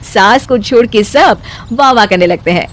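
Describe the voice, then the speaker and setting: A woman's voice: fairly high pitch, normal speed, noisy background. A woman narrator reading a scripted domestic comic story.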